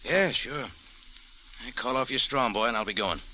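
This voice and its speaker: A man's voice: mid-range voice, normal speed, noisy background, close to an everyday voice. An American actor playing a wry, tough male private detective, clipped and wisecracking, in a 1940s radio drama. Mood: dry, wisecracking. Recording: old radio recording with music behind.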